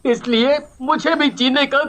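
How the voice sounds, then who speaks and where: A concerned young husband in a cartoon story, acted by a man. He sounds earnest and gentle, yet chides with reproach. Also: very high-pitched, fairly even intonation, a little slow. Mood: grieved, stern. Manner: earnest, chiding.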